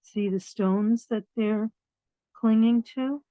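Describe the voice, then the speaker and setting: Slow-paced woman reading a children's story aloud. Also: fairly high pitch. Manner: expressive, explains to children in simple words. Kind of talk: read-aloud of picture books with asides to young listeners. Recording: dull, roomy lo-fi sound.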